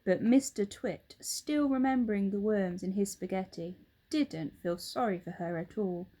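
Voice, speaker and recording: A woman narrating a children's story aloud in an expressive storytelling style. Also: medium pitch, unhurried. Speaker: storybook reader.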